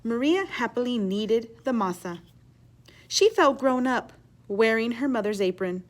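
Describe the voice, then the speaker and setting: A woman reading a children's story aloud in an expressive, teacherly way, pausing to explain words and ask questions. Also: on the high side. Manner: animated, emphatic reading with hushed asides.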